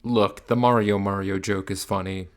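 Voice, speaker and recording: A man's voice: deep voice, fairly even intonation, normal speed, very noisy. A man narrating a scripted but conversational film review in an opinionated, matter-of-fact manner. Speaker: video essayist and film reviewer.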